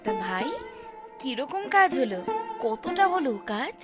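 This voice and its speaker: A woman's voice: sits high, sing-song, unhurried. A woman voicing an attentive, homely village housewife in a cartoon. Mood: caring. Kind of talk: cartoon character dialogue. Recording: music behind the voice.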